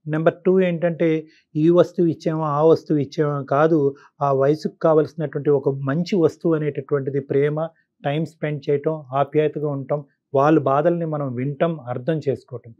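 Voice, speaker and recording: A man with a somewhat high voice gives counsel on a call-in show. He speaks very fast, explaining and listing his points with repetition. The sound is slightly dull and band-limited. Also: steady tone. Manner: explanatory, repeats and lists points. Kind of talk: advisory talk to caller and co-host.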